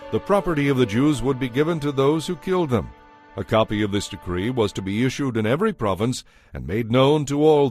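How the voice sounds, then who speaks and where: A man's voice: mid-range voice, darkish tone. A man narrating scripture as a scripted, dramatized story, with a solemn storytelling delivery. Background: music.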